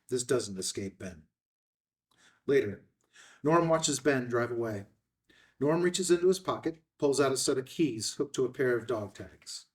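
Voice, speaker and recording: A man's voice: mid-range voice, distant mic. A man narrating screenplay action lines at a table read. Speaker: stage-direction narrator.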